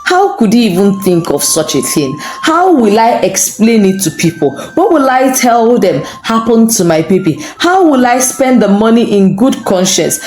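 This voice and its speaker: A woman's voice: a little high, a little mellow, reverberant, pitched above the performer's own voice. Woman voicing an anguished, indignant pregnant wife. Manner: emotional, questioning. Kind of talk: folktale storytelling dialogue.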